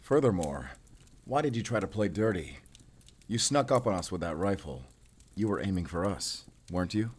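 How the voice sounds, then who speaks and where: A man's voice: low voice, animated, normal speed, husky. A man playing a stern, guarded werewolf hunter, terse and commanding, in an audio drama. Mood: questioning, guarded. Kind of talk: cinematic audio roleplay acting.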